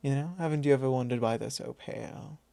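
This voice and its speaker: A man playing a possessive, teasing vampire lord in an intimate ASMR roleplay, speaking softly in a measured, drawling, formal way. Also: mid-range voice, gravelly, dry recording, natural voice. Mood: curious, musing. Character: possessive male vampire lord, teasing, calmly probing his thrall.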